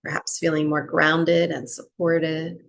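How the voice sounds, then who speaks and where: A woman teaching breathwork, guiding a meditation in short, unhurried phrases with long pauses between them. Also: low-pitched, completely flat delivery, creaky. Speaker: breathwork and yoga nidra teacher. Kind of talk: guided meditation, slow soothing instruction.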